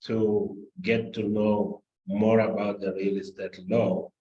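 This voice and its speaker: A man talks in a slightly low voice, slow and hesitant, often correcting himself. The sound is a dull video-call feed. It has a roomy echo. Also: little pitch movement, warm tone. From Cameroon. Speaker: corporate lawyer. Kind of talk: self-introduction on a video call.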